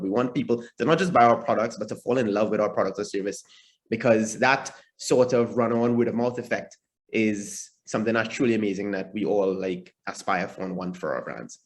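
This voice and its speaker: A man hosting an online panel, talking earnestly and rambling a little with many ums and restarts over a video call. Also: mid-range voice. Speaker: host. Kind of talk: moderating a webinar panel.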